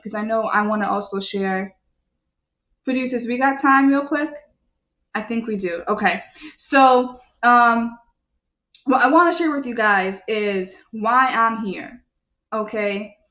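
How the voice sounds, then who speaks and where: A young adult woman hosting a live show, reading viewer comments and asking her listeners questions in an animated, preachy way. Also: fairly high pitch, measured pace. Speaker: show host. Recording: echoey, dull lo-fi sound.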